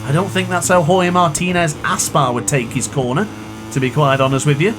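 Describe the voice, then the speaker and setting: A man commentating his own racing game run in a chatty, excitable way, with a sore throat. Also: a little high, a little fast, noisy background. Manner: excitable, chatty, exclaims at crashes. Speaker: gaming commentator. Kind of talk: live gameplay commentary.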